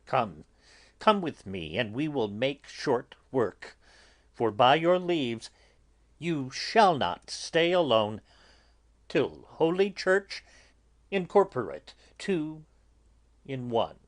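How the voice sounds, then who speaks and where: Kindly church father played by a man, medium pitch, very unhurried, animated intonation, solemn classical verse. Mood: kindly, brisk. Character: fatherly, kindly friar marrying young lovers. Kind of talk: Shakespeare audiobook dramatic reading.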